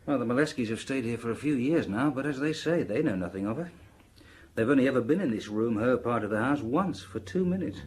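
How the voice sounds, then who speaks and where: A man's voice: mid-range voice, lively intonation, fairly quick, lightly acted. An actor playing a diligent local police inspector in an audio drama, giving a conversational, slightly hesitant briefing to his superior. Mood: matter-of-fact. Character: local male police inspector, matter-of-fact, diligent, deferential.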